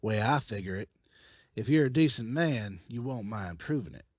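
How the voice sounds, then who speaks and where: Survivor leader, male actor: commanding and watchful man, lively intonation, close dry sound, comic-book drama. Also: mid-range voice, moderate pace, no echo, lightly acted. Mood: wary. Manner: decisive, guarded.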